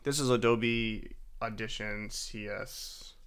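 A man narrating a software tutorial in a casual, halting, self-deprecating way. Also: on the low side, fairly even intonation, clean tone. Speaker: tutorial host. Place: voice-over for a screen recording.

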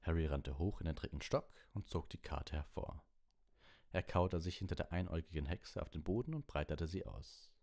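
A man with a low voice narrates an audiobook. He reads the scripted story as its narrator. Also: moderate pace, a little mellow.

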